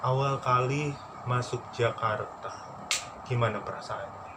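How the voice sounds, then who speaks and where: A man hosting a casual vlog chat with a friend, playful and teasing, quick to laugh. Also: mid-range voice, fairly even intonation. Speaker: vlog host interviewing a friend. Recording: noisy background.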